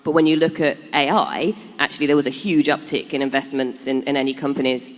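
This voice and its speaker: A woman's voice: extremely low pitch, steady tone, a little fast. A woman hosting a live panel in an informal, conversational style as moderator. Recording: echoey conference hall.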